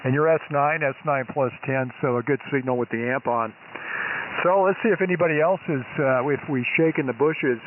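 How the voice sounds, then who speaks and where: Man running a ham radio net over shortwave. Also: mid-range voice. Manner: easygoing, halting with many fillers. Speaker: net control operator. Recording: phone-like shortwave radio with hiss.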